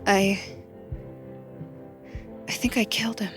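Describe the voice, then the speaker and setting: An actress playing a shaken, hesitant young woman explorer in an audio drama, speaking in a halting, tentative way. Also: medium pitch, fairly even intonation, music in the background, natural voice.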